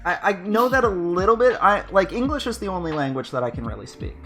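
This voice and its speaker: A man's voice: high voice, very animated, fast, fairly bright tone, soft background music. A man chatting casually on a podcast, telling a rambling story and laughing mid-sentence. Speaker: VTuber podcast co-host. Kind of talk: casual chat.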